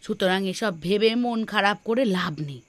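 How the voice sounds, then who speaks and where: A woman's voice: medium pitch, moderate pace, no echo, clean studio sound, lightly acted. A woman playing a practical village housewife in a cartoon, bossy but caring, with a nagging, exclamatory way of talking. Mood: firm, consoling. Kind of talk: cartoon voice acting. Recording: music behind the voice.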